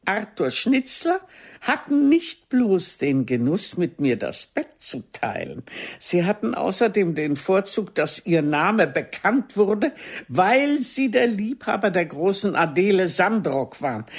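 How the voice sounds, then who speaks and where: Self-important ageing star actress, husky voice, very lively intonation, theatrical, imperious, radio play. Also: female voice, lowish voice, full character voice.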